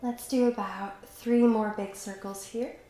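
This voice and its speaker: A young woman with a slight cold, calmly and encouragingly guiding a Pilates workout while she does the moves herself. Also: fairly high pitch, unhurried, soft background music. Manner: calm, encouraging, talks while exercising. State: has a cold, slightly stuffy. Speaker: pilates instructor. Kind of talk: guided workout instruction. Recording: very echoey room, faint background noise.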